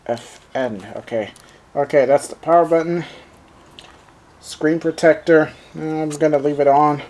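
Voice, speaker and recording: A man's voice: a little high, steady tone, unhurried, darkish tone. A man whose first language is German, speaking English with a German accent while unboxing and reviewing a handheld, thinking aloud with many fillers. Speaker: gadget reviewer.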